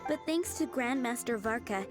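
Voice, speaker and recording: A caring young woman from a video game played by an actress, explaining things earnestly and politely. Her voice is fairly high and gentle, with faint music behind it. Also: lively intonation, moderate pace, close to an everyday voice. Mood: earnest, troubled.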